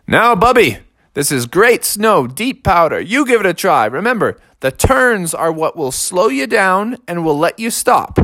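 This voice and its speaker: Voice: mid-range voice, sing-song, a little slow, fairly bright tone, dry recording, clean studio sound. A storyteller playing a hearty, blustery father bear. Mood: brisk, instructive. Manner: old-fashioned folksy exclamations, calls son "me boy".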